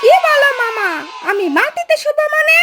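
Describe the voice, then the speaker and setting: A woman with a Bangladeshi accent voicing a quick-tempered, stubborn young boy in a cartoon. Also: extremely high pitch, lively intonation, very noisy. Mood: annoyed. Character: hot-headed young boy football fan. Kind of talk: cartoon voice acting.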